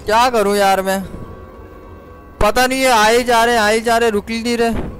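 High-pitched man streaming a game. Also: level tone, normal speed, a bit bright, soft background music. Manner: exclaiming, talking to himself and viewers. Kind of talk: live gameplay commentary.

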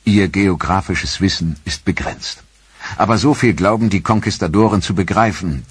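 A man narrating a history documentary in a measured, even storytelling delivery. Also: a bass voice, breathy edge, dry recording.

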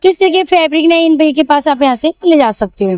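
High-voiced woman touring a street market. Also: animated, quick pace, darkish tone, no echo, clean studio sound. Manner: chatty, repeats each price to the viewer. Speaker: shopping vlogger. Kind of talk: market walkthrough vlog.

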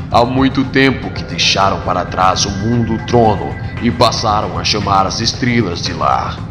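A Brazilian man delivering dramatic scripted narration as the narrator of a story. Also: lowish voice. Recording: long reverb, music underneath. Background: music.

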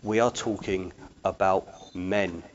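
A man in his forties hosts a talk show from a studio desk, speaking slowly and with emphasis. He has a presenter's steady delivery, and his lapel mic gives the sound a slightly dull, muffled quality. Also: deep voice, a little hiss or hum. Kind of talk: broadcast interview hosting.

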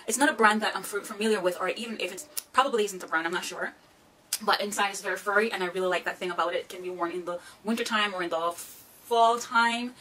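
A Jamaican woman in her thirties, chatting excitedly as she shows off thrifted bags and shoes while sitting on the floor of a small room. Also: medium pitch, distant mic, a little hiss or hum, poor audio. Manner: chatty, excitable, giggly asides. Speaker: fashion vlogger. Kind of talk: casual thrift haul vlog. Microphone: camera mic from a few feet away.

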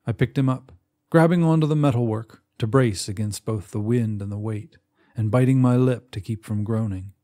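A man narrating a first-person short story in a measured, expressive way with dramatic pauses. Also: medium pitch. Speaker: audiobook narrator.

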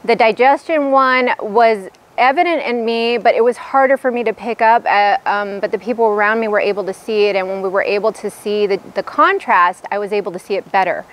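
A woman's voice: medium pitch, fairly quick, hardly any pauses. A young adult woman speaking eagerly and in a friendly way, outdoors on a lapel mic. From Pennsylvania. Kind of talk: testimonial interview. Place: outdoors on a wooded lawn.

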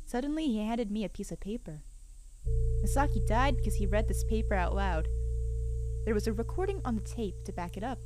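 A woman voicing an uneasy police detective, reading a horror game's story aloud in a measured way. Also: mid-range voice, animated, background noise, voice pushed down, natural voice. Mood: grave, uneasy. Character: grave, uneasy police detective narrating a case. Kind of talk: reading horror game subtitles as narration.

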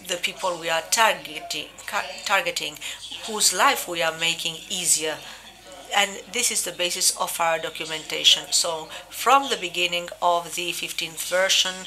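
A woman interpreting a conference keynote live, delivering it in measured phrases with short breaks. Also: lowish voice. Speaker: simultaneous interpreter.

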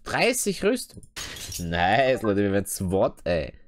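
A man's voice: on the low side, wildly swooping pitch, slow, a little hiss or hum. A man from Austria giving casual, slangy gameplay commentary, dropping in English exclamations. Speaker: let's player. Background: game music and clicking.

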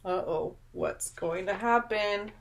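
A young woman reading a picture book aloud to children in an expressive, dramatic way, pausing to ask the listener questions. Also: mid-range voice, a little hiss or hum. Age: young adult. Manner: dramatic, drawn-out delivery with gasps and prompting questions. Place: small room at home, indoors.

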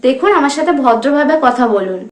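Young female prank caller, West Bengal Bengali accent, high voice, politely persistent and persuasive, roomy echo. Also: lively intonation, fairly quick. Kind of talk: staged phone prank conversation.

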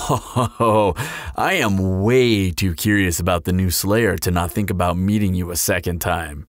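A man's voice: low-pitched, a little slow, no room sound, studio quality. A man playing a flirtatious, sardonic vampire in a roleplay audio drama, talking in a glib, teasing, playful drawl. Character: flirtatious, sardonic male vampire toying with a slayer.

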